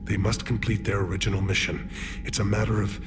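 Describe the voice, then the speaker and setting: A man's voice: lowish voice, flat delivery, heavy background noise, natural voice. A man voicing a dignified alien military leader. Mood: measured. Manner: formal, measured and reserved. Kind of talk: video game voice acting.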